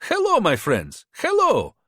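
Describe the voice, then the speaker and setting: A man voicing a smooth-talking male border fixer of about thirty, speaking broken English with a foreign accent, pushy and flattering. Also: sits high, unhurried, dry recording, studio quality, voice pushed up, full character voice. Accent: unplaceable foreign accent.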